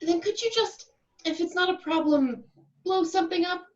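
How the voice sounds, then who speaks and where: A woman's voice: very high voice, moderate pace, lo-fi sound. A woman playing a skeptical, polite and hesitant actress in an amateur script read. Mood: hesitant, curious.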